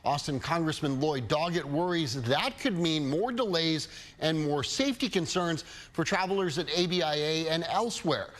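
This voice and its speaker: A man's voice: fairly high pitch, normal speed, fairly bright tone. A man anchoring TV news, reading a scripted introduction to a report.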